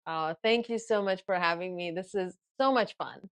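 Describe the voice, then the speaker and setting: A woman whose first language is Farsi, a guest in an interview, explaining her method in lively bursts and acting out inner thoughts. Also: on the low side, moderate pace, clear, resonant tone. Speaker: guest author and ghostwriting coach. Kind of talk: casual interview talk.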